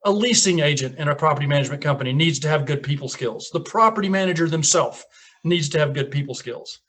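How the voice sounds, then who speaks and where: A man's voice: fairly high pitch, expressive, quick pace, fairly bright tone. Southern guy from tennessee, 50s, easygoing folksy radio guest. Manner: folksy storytelling, chuckles at own lines. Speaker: guest property manager. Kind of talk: casual radio interview.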